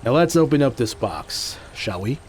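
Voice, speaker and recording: A man acting an animated martial arts master. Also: mid-range voice, moderate pace, background noise, natural voice. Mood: relaxed. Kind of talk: audio drama dialogue.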